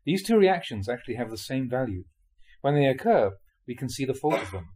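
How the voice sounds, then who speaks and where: A man reading a spiritual talk aloud in a measured, explanatory way, often posing rhetorical questions. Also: medium pitch, very animated, warm tone, slightly rough. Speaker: monk reading dharma talks aloud.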